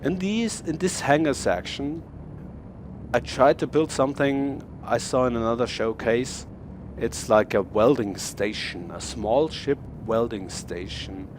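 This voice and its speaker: A young man with a German accent walks through his space game build in halting, filler-heavy English. His voice sits at a middle pitch, and a faint hum runs under his talk. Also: lively intonation. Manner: halting, many fillers and repeated words. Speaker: gamer showing his build. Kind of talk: casual game showcase commentary.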